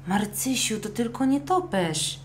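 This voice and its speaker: A woman's voice: on the high side, sing-song, a little slow, a little hiss or hum, voice pushed up. A woman playing a brave, earnest young boy in a children's audio story. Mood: reassuring. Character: eager, brave young boy, reassuring older brother.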